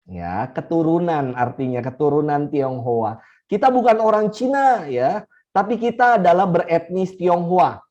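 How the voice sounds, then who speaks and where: Slow-speaking Indonesian priest, mellow voice. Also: male voice, fairly high pitch, lively intonation. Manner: unhurried, repeats key phrases for emphasis, chuckles. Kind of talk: teaching talk to a congregation. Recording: slightly dull lo-fi recording.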